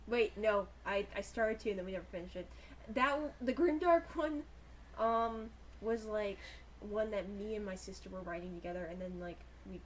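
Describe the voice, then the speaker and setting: A young woman with a medium-pitched voice chats casually as a podcast co-host. Faint room noise sits behind her conversational speech. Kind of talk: casual group chat. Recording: noisy room with background ambience.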